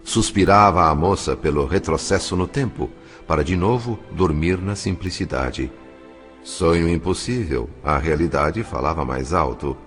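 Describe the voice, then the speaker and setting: Grave male narrator, deep voice, literary audio-novel storytelling, measured phrasing, soft music underneath. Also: expressive. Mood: solemn, reflective. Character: solemn male narrator of a spiritual family saga. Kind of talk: scripted dramatic narration. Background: faint music.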